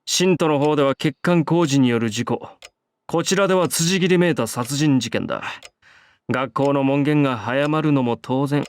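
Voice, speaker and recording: A man's voice: mid-range voice, fast, dry recording, close to an everyday voice. A man voicing an earnest, old-fashioned student council president in a visual novel, with stiff, monkish phrasing. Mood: grave.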